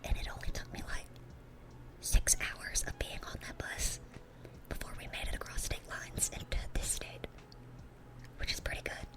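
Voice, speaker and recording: A woman's voice: normal speed, long pauses, close to an everyday voice. A woman playing a dorky, sweet-natured young demon girl in an ASMR roleplay, whispering in a rambling, chatty, earnest way. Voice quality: breathy, unvoiced whisper.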